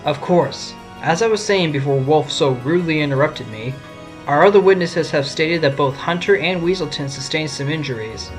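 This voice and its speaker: A man's voice: a little high, normal speed, soft background music, lightly acted. A man playing an assured, wry fox defense lawyer, explaining things in an audio drama. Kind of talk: amateur audio drama acting.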